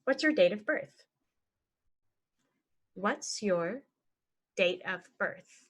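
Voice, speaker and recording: A woman teaching English phrases, saying each one and then repeating it slowly and clearly for learners. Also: mid-range voice, lively intonation. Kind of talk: slow phrase-by-phrase language lesson.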